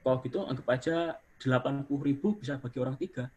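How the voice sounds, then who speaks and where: A man's voice: mid-range voice, fast talker, husky. A young man, a university student, chatting casually as a guest on a video call, trailing his sentences with fillers. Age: young adult. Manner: relaxed, many fillers and trailing "gitu".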